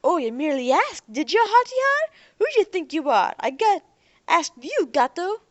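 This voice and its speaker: A woman's voice: very high voice, very animated, moderate pace, dry recording, voice pushed up. A woman voicing a hostile young man leading a gang, sneering and taunting. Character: hostile young male Earther gang leader.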